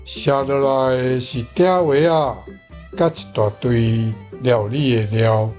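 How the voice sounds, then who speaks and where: Slow-paced Taiwanese man reading model sentences. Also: mid-range voice, darkish tone, soft background music. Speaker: language teacher. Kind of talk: measured model translation read aloud.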